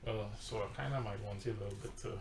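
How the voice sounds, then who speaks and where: A man's voice: on the low side, fast talker, vocal fry, noisy background. A pastor with a Trinidadian accent telling a personal testimony in conversation, emphatic and storytelling, sometimes repeating his words. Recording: roomy echo.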